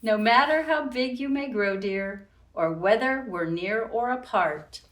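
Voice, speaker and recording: Slow-paced woman reading children's storytime. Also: a little high. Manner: expressive, addresses young children directly. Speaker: children's librarian and storytime host. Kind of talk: children's storytime read-aloud with sing-song rhymes. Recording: roomy echo, distant from the mic.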